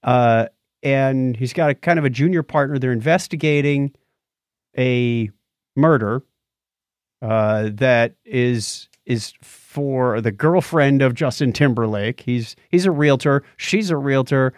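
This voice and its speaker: A man co-hosts a podcast, talking through a review off the cuff. His voice sits at a middling pitch and he often restarts his phrases as he goes. The sound is dry, with little room around it. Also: slow, dry recording. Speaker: podcast co-host reviewing films. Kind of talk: casual unscripted review chat.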